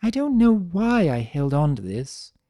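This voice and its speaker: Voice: mid-range voice, sing-song, a little slow, dark tone, dry recording, clean studio sound, voice pushed up. A performer voicing an elegant, proud and guarded female unicorn pony in a cartoon fan story, with refined, theatrical and precise diction. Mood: wistful.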